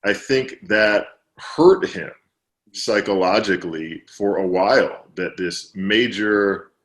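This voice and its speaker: A man being interviewed as an author, explaining at length in relaxed conversation, with fillers and restarts. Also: low voice.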